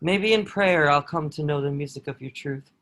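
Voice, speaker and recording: A man's voice: on the high side, normal speed, a bit bright. A man playing a proud, dutiful enemy soldier who is secretly awed by his captive, in an earnest, formal table read of a historical drama. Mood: earnest, troubled. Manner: earnest, formal archaic phrasing.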